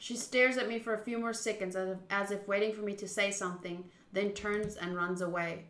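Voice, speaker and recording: A woman narrates a visual novel for a live stream and chats between passages. Her voice is bright and clear-cut in tone, with an expressive, chatty delivery. The sound is noticeably echoey, as from a reflective room. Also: mid-range voice, fairly quick. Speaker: game streamer. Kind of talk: live visual novel playthrough, reading text aloud and chatting.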